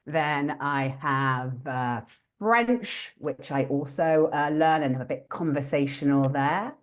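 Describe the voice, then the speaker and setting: A woman hosting an interactive online teaching workshop, talking in a friendly, lively way with many fillers and restarts. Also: deep voice, slow pace. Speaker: workshop host.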